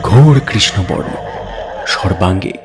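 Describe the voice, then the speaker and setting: A low-voiced man narrates a horror story. His delivery is theatrical and suspenseful, set out in deliberate short phrases. Music plays clearly behind his voice. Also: expressive. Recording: voice over background music. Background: music bed.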